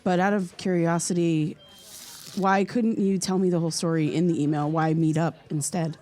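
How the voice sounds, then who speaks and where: An actress playing an earnest, curious young American podcaster in an audio drama, speaking in a conversational, slightly hesitant way. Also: female voice, on the low side, level tone, normal speed, dark tone, close to an everyday voice. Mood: nervous, friendly. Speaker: podcast host character.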